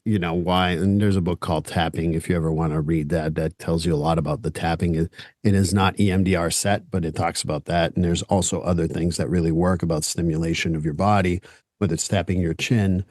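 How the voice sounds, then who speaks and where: A low-voiced man with a French Canadian accent hosts a relaxed podcast chat. He speaks bluntly and swears now and then, in a French speaker's English. The sound is dry and close, with no room echo. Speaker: podcast host. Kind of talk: casual interview chat.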